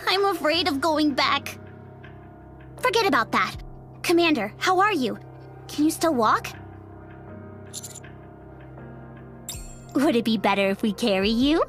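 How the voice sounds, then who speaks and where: A woman playing a blunt, worrying young female soldier in a game, expressive and outspoken. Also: sits high, normal speed, faint music behind. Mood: anxious, caring. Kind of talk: dubbed game cutscene voice acting.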